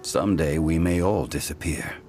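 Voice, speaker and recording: A man voicing an ancient god in human form in a video game, calm, solemn and reflective, speaking in a formal, measured way. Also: extremely low pitch. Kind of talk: scripted video game dialogue. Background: background music.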